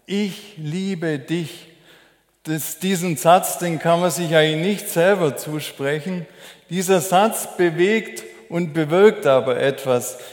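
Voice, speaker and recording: A man preaching a sermon as a preacher, in a measured, deliberate, explanatory way. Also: a little high. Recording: echoey hall with long reverb.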